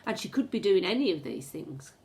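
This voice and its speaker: A woman's voice: fairly low pitch, creaky. A woman teacher reading a storybook aloud to children in a dramatic, suspenseful way, with asides to her pupils. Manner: expressive, suspenseful, deliberate storytelling.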